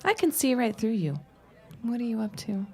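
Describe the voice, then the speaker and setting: A woman's voice: on the high side, sing-song, normal speed, mellow, slightly rough, full character voice. An actress playing a wary, stubborn young 1910s bride in an audio drama, dry and teasing with her lines. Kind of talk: period audio drama acting.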